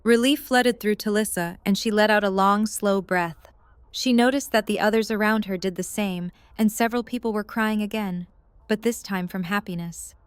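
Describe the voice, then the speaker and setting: Female narrator, scripted storytelling, smooth and clear, mid-pitched, dry close recording. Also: moderate pace, no echo.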